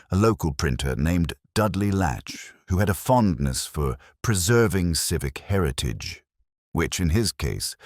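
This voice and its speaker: Very deep-voiced male mystery narrator. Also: darkish tone, dry recording, clean studio sound. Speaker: narrator of audio mystery story. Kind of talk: scripted storytelling narration.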